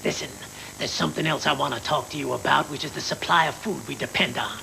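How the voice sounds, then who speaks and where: An actor playing a smooth, menacing middle-aged crime boss in a dubbed anime, patronizing and persuasive. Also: male voice, a little high, quick pace, a little hiss or hum, exaggerated voice, low-quality recording. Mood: smoothly persuasive. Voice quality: gravelly, creaky. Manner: patronizing, persuasive, calls the younger one "son". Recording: worn VHS tape, loud music behind.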